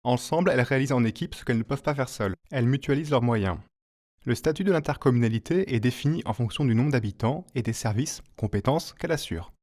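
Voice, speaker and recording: A man reading a scripted informational narration as an explainer, in a clear, didactic manner. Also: medium pitch, expressive, fast, darkish tone.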